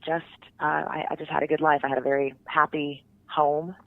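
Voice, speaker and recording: A woman's voice: deep voice, level tone, brisk, no echo. A middle-aged woman from California, chatting as an interview guest, with frequent ums and restarts. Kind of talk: casual interview talk. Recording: tinny phone-line sound, dry.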